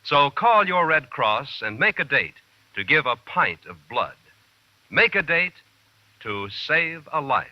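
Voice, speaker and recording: Male American network announcer, 1950s delivery, fairly high and animated, muffled vintage recording. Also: slow pace, no room sound. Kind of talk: 1950s radio announcing, scripted. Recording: dull vintage radio recording.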